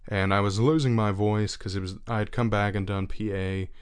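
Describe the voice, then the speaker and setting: A young man talking earnestly in a reflective personal monologue, unhurried and thinking aloud as he goes. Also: fairly low pitch, steady tone, clear, resonant tone. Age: young adult.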